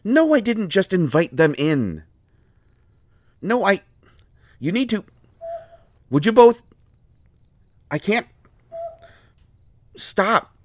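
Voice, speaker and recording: A man's voice: high voice, big pitch swings, takes it slowly, pauses often, dry recording, clean studio sound, exaggerated voice. A man acting out a harried, protective royal court doctor in an audio roleplay, defensive and exasperated with a lover. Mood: flustered, shouting. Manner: pleading, exasperated, swinging from soft explaining to flustered outbursts. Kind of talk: dramatic audio roleplay, one side of a conversation.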